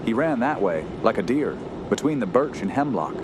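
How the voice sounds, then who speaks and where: Man acting a haunted young man, low-voiced. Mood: haunted, solemn. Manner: halting, solemn, haunted. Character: shaken, earnest young woodsman recounting a terror. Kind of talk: radio horror narration and acting. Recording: loud steady outdoor background noise.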